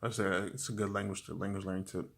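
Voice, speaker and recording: A young man giving casual language-learning tips to camera, talking in a relaxed, rambling way with many fillers and restarts. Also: sits low, fast, creaky. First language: English.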